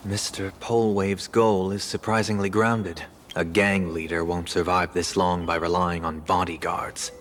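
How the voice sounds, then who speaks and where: A man's voice: low-pitched, flat delivery, a little slow, hardly any pauses, fairly bright tone, slight background noise. A man playing a shrewd, composed character in a video game, dry and analytical. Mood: dry, appraising. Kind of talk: video game story dialogue.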